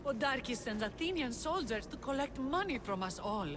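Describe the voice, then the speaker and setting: An actress playing Kira, a fierce, sharp-tongued rebel leader in a game cutscene. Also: female voice, high voice, expressive, moderate pace, background noise. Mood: urgent. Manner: sharp, teasing, commanding. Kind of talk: video game cutscene acting.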